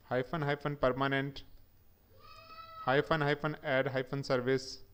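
A man's voice: mid-range voice, little pitch movement, measured pace, a bit bright, slight background noise. A man giving a step-by-step tech tutorial over a screen recording, methodically spelling out each command. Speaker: instructor.

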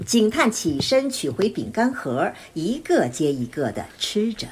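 A woman's voice: medium pitch, very animated, a little slow, noisy background. A woman narrating a scripted radio drama as the storyteller. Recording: music behind voice.

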